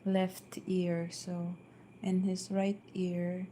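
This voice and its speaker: Soft-spoken filipina lady chatting on a live stream at home, slow and flat, lots of ums, faint hum behind. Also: lowish voice. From Philippines. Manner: hesitant, many fillers and restarts. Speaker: vlogger. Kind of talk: casual live-stream chat. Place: downstairs at home.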